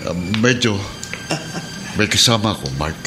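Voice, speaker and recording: A man playing a kindly middle-aged Filipino policeman and adoptive father in a radio drama, measured and earnest. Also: fairly low pitch, expressive, background noise, lightly acted. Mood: grave, earnest. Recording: dramatic music bed behind the voice.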